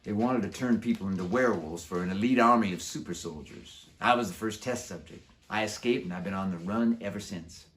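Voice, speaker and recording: A man's voice: fairly low pitch, fairly quick, few pauses, fairly bright tone, lightly acted. A man voicing a brash, boastful, streetwise male werewolf in a children's storybook, with slangy, chummy, showy talk. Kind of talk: children's book character voice.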